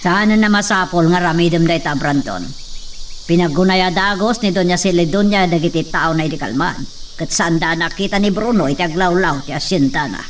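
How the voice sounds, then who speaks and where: A woman's voice: on the low side, fairly quick, natural voice. A woman playing an imperious, scheming wealthy lady in a radio drama, commanding and scolding. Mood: scheming, stern. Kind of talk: Ilocano radio drama acting. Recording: slightly noisy, distant-sounding microphone.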